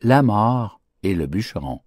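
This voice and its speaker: Slow, expressive low-voiced male fable narrator. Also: slightly rough, no room sound, studio quality. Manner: declamatory, theatrical phrasing. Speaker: audiobook narrator. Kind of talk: expressive literary fable reading.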